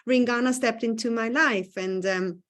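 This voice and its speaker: Woman, somewhat high voice. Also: normal speed. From Lower Austria. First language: German. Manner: warm, talkative, self-correcting. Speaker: co-host. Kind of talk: casual self-introduction on a video call. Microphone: video call.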